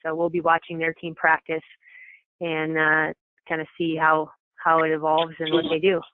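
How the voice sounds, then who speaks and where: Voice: medium pitch, completely flat delivery, normal speed, slight background noise. A program coordinator giving an informal, matter-of-fact, explanatory briefing to coaches over a telephone conference call. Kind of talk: informal meeting presentation. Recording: tinny, echoey telephone conference line.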